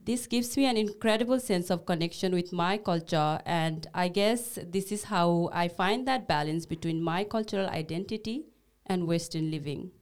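A young woman whose first language is Nepali reads her lines earnestly and with care. Her voice is on the low side, smooth and crisp. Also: moderate pace. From Bhutanese refugee, grew up in Nepal. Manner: earnest, carefully read delivery. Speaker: social worker, podcast co-host. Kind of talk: scripted conversation.

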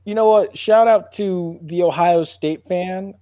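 A man hosting a sports podcast in casual, animated debate, making his points emphatically and repeating phrases for effect. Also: high-pitched, a little slow, clear, resonant tone, dry recording.

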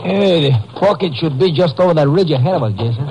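A man's voice: a little high, animated, darkish tone, soft background music, close to an everyday voice. A man playing a seasoned Mexican police captain with a Mexican Spanish accent in a 1950s radio drama, courteous and conversational. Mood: observant. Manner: conversational, deliberate, punctuated with Spanish interjections. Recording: muffled 1950s radio recording, faint noise.

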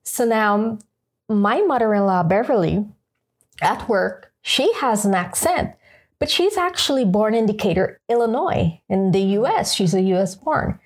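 A woman's voice: medium pitch, animated, unhurried. A woman giving her opinions conversationally in a group discussion, emphatic and quoting imagined exchanges. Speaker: panel discussion participant.

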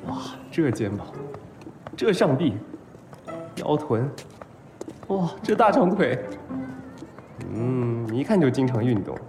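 A man's voice: mid-range voice, big pitch swings, slow, pauses often. A man acting in an audio drama as a flustered, smitten young patient, stammering and whining his way through his lines. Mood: excited, smitten. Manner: stammering, whiny, exclamatory. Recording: music behind.

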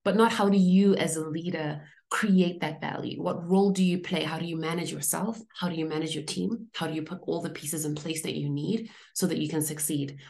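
A woman's voice: low-pitched, fairly even intonation, brisk, gravelly, few pauses, a little mellow. A woman from Zimbabwe, an executive coach, explaining her career as a podcast interview guest in an articulate way, laying out points in lists.

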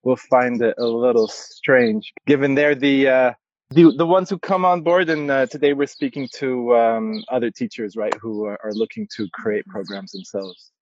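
A man's voice: medium pitch, fairly even intonation, moderate pace, dry recording, highs cut off. A man who grew up speaking Arabic, a yoga teacher guest on a podcast, talking hesitantly with many restarts and easy laughs. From Egypt. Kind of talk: podcast interview.

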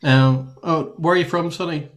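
A man's voice: fairly high pitch, steady tone, moderate pace. A man hosting a podcast, introducing guests in casual chat with friendly chuckles and many ums. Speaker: podcast host.

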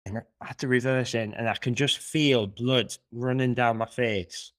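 A man's voice: mid-range voice, steady tone, measured pace, fairly bright tone, no echo, clean studio sound. A man co-hosting a podcast, telling a long rambling story in a casual chat. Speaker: podcast co-host.

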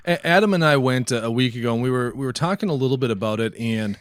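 Male podcast co-host, medium pitch, conversational explainer, dry studio-like sound. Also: expressive, fast talker, no room sound. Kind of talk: casual tech and gaming podcast chat.

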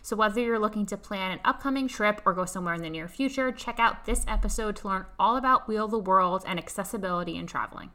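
A woman's voice: medium pitch, quick pace, hardly any pauses, bright, forceful tone. A woman hosting a podcast, giving a polished, upbeat intro and then interviewing a guest. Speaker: podcast host.

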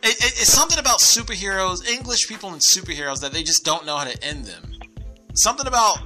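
Animated, bright-voiced man in his thirties. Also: sits high, fast, faint music behind, rough recording. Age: 30s. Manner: animated, teasing, sweary banter. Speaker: podcast co-host. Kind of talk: casual chat about films and television.

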